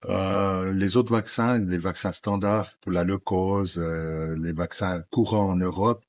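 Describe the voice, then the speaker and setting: A middle-aged man explaining steadily in an interview, measured and pausing with fillers. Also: low voice, steady tone, a little mellow, no echo. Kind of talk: calm explanatory chat.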